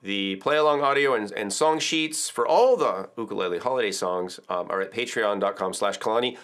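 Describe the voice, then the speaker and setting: Middle-aged male music teacher, slightly low voice, casual explaining tone, studio video lesson. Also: fairly quick, a bit bright. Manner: chatty, explains with filler words and counts aloud. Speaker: ukulele teacher. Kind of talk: relaxed video tutorial. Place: indoor studio with drums.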